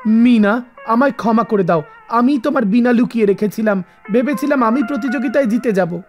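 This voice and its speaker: Cartoon rival: sly, jealous young boy played by a woman, fairly high voice, music underneath. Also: brisk, a little mellow, lightly acted. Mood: remorseful. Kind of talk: animated moral story dialogue. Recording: music behind the voice. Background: background music.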